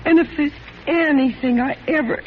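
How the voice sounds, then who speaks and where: An actress playing a sweet, emotional, devoted wife in a 1940s style radio suspense drama, with a gushing, dramatic manner. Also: female voice, high voice, normal speed, close to an everyday voice. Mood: overjoyed, tearful. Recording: muffled noisy old radio recording.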